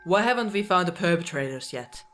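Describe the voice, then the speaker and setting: Casting: performer as stern secret-agency commander, mid-pitched, formal and measured, anime audio drama with music underneath. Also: expressive, fairly bright tone, close to an everyday voice. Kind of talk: fan-made anime audio drama acting.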